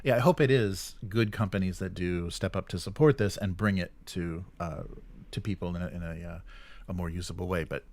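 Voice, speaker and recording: A man's voice: deep voice, a little fast, a little mellow. A man co-hosting a gaming show, talking casually through the news and often searching for his words. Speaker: co-host of a weekly tabletop gaming show.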